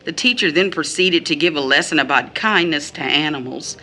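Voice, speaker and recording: Bitter wounded mother, low bright voice. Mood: bitter, pained. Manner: deliberate phrasing, quotes others in mimicked tones. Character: bitter, wounded mother recounting her young daughter's mistreatment. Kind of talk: audio drama monologue.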